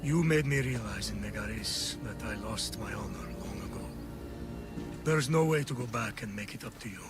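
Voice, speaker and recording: A man's voice: lowish voice, normal speed, close to an everyday voice. An actor playing a remorseful, duty-bound older soldier, an ancient Greek father in a video game, speaking solemnly. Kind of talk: video game cutscene acting. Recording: loud music under the voice. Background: loud music.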